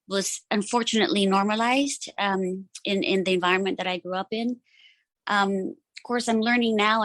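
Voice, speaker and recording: A woman in her forties from the Philippines, sharing personally at a support meeting, pausing often with many ums. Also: fairly low pitch, fairly bright tone, no echo. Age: 40s. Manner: hesitant, many ums and pauses.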